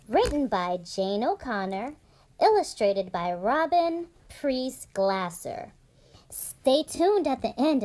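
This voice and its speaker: A woman reading a picture book aloud for young children in an animated, deliberate storytelling manner. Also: fairly high pitch.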